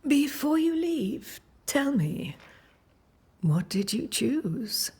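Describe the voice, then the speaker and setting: An actress playing an enigmatic witch leader in a video game, breathy and measured, giving cryptic, ominous guidance. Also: sits high, very animated, darkish tone, full character voice. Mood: grave, probing. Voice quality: breathy, husky. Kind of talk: dramatic video game acting.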